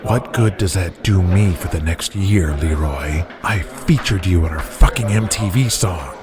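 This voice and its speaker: A domineering, low-voiced wrestling boss. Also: male voice, a little slow. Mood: scornful, angry. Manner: sarcastic, profane, domineering. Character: ruthless, bullying male wrestling promoter boss. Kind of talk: audio drama acting. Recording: loud music underneath.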